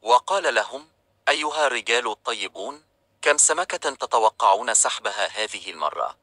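Man reading a storybook, bright and dry. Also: medium pitch, animated, brisk, dry recording. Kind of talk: scripted storybook narration.

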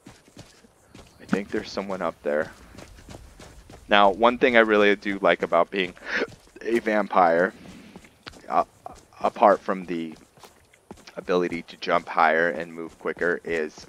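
A man's voice: low-pitched, steady tone, slow, long pauses, a bit bright, slight background noise. A man giving casual commentary on his roleplay playthrough, explaining things to viewers and often answering his own questions. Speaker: gamer narrating a roleplay playthrough.

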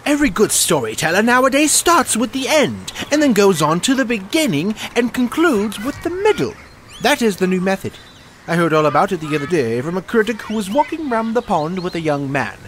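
An actor playing a self-important, opinionated water-rat in an audio drama, with a pompous manner. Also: male voice, high voice, sing-song, normal speed, a bit bright, a little hiss or hum. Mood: haughty. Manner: pompous, haughty. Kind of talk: theatrical audio drama acting.

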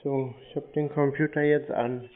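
Male voice, medium pitch, deadpan, laconic, casual phone chat, muffled narrow-band line. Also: normal speed. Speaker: YouTuber prank-calling phone scammers. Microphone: telephone call.

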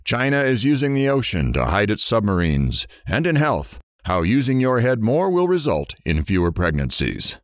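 Man acting a brash, animated radio DJ. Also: on the low side, normal speed, hardly any pauses, no room sound, studio quality. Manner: irreverent showman banter. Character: brash, sarcastic morning shock-jock radio DJ. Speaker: radio DJ host. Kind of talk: audio drama, morning radio show.

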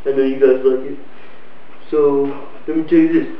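A man's voice: medium pitch, level tone, normal speed, echoey room, phone-quality sound, heavy background noise. A young man answering questions in an offhand, joking way during a home-video interview.

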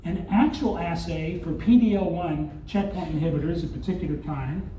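A man's voice: a little high, normal speed, mellow, a little hiss or hum. An older man from Arkansas with a Southern accent, giving a conference keynote with rhetorical questions and wry asides, recorded from the audience in a large hotel hall. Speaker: keynote speaker. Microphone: far phone camera in audience. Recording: distant echoey hall, dull audience phone recording.

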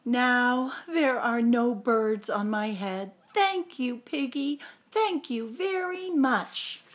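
A woman's voice: fairly high pitch, slow, voice pushed up. A woman voicing a distressed, incredulous male elephant from a picture book, full of exclaiming questions. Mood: relieved, polite.

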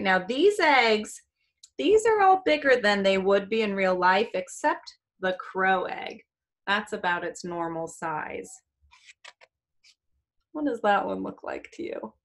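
A woman's voice: mid-range voice, a bit bright. A middle-aged American woman reading aloud to young pupils like a teacher, explaining as she goes with questions and small exclamations. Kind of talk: children's read-aloud with asides. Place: small room indoors.

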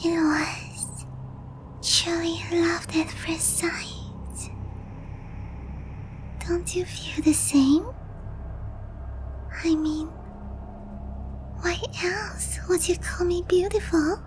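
Yandere vampire princess asmr, super slow breathy half whisper, creepy sweet and clingy, bit of ambience noise behind. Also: female voice, very high voice, fairly even intonation, exaggerated voice. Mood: lovestruck, wistful. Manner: slow, cooing, possessive. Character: obsessive young female vampire princess, sweetly menacing. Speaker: roleplay voice actress.

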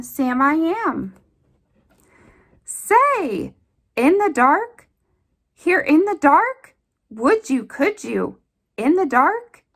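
A woman reads a children's picture book aloud in a high voice that swings widely up and down. She takes it slowly and deliberately, leaning into the rhymes with a singsong storytime rhythm. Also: a bit bright. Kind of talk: expressive read-aloud of a rhyming picture book for young children. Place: indoors at a table.

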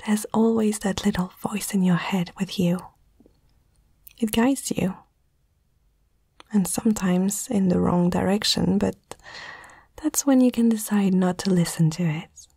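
A woman whispering softly and tenderly in a breathy voice, in a reassuring ASMR comfort roleplay, close to the microphone. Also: medium pitch, a little slow, pauses often, dry recording.

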